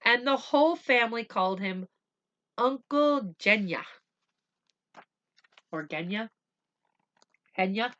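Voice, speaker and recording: Slow, bright-voiced woman reading a story. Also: on the high side, lively intonation, muffled recording. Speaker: storybook reader for children. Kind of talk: read-aloud storytelling with simple asides.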